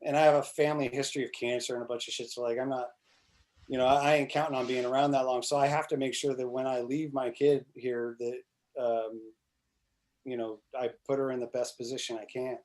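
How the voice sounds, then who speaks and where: A man's voice: medium pitch, little pitch movement, a little fast, darkish tone. Man in his 40s, rambling, restarts a lot, swears casually, webcam audio. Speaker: congressional candidate guest. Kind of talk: casual video call chat. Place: small indoor room, plain wall. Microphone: video call webcam.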